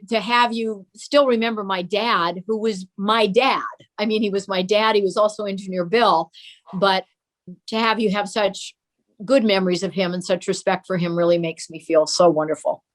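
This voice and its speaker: Older lady being interviewed, chatting about old memories. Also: mid-range voice. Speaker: guest, daughter of a children's TV show host. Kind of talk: reminiscing in an interview.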